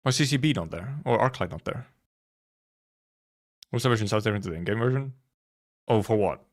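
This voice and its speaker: A man live streaming in casual chat, rambling and haltingly thinking aloud, with some offhand swearing. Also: fairly low pitch, expressive. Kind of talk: casual chat, reacting to viewers.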